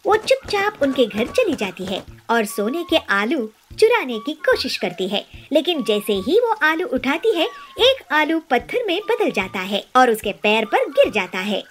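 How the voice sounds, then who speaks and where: A woman's voice: sits high, big pitch swings, normal speed, hardly any pauses. A woman reading a scripted children's story as narrator.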